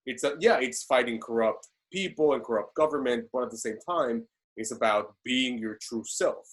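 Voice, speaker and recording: A man's voice: medium pitch, normal speed, fairly bright tone. A man in his twenties discussing casually on a video call from a small room. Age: 20s. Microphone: computer on a video call.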